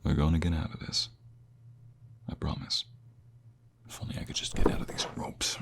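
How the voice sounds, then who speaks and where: A man playing a protective captive ex-mobster in an audio roleplay, earnest and emotional, with a husky, breathy voice. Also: very deep voice, little pitch movement, normal speed, in a whisper. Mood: anxious, soothing. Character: protective captive ex-mobster, devoted to his fiancée. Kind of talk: scripted audio roleplay acting, ASMR.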